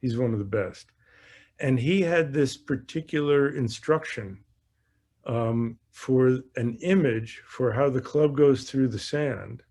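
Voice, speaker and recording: A man's voice: medium pitch, slow, darkish tone. Man coaching in a conversational way. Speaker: golf coach hosting an online Q&A. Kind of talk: conversational instruction.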